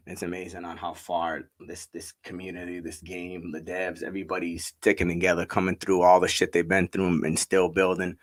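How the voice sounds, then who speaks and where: A man's voice: deep voice, dry recording. A man hosting a casual online chat, hyped and slangy, repeating his points. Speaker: host.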